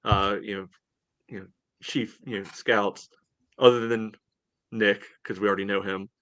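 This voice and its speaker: Guy podcast host, lower pitch but sharp bright voice, casual questions. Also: fairly even intonation, moderate pace, rough recording. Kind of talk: casual chat, posing questions.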